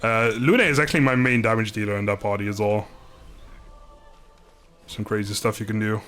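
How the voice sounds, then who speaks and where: A man's voice: fairly low pitch, steady tone, a little fast, fairly bright tone, smooth, faint music behind. A man doing casual live game commentary, chatting informally with viewers, joking and swearing mildly. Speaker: game streamer.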